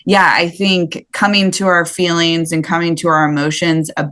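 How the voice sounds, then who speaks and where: A woman speaking as a podcast guest, reflecting conversationally in thoughtful, halting phrases. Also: on the low side, flat delivery, fairly bright tone. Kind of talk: reflective conversational interview talk.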